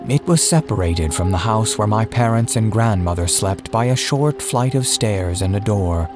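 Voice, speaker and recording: Male narrator for spooky audiobook, lowish voice, deliberate phrasing, over prominent background music. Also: lively intonation. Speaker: horror story narrator.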